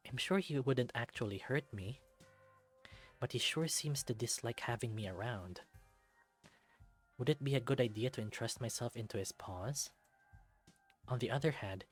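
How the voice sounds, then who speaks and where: A man reading a visual novel aloud as a let's-play, narrating expressively. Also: mid-range voice. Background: game music.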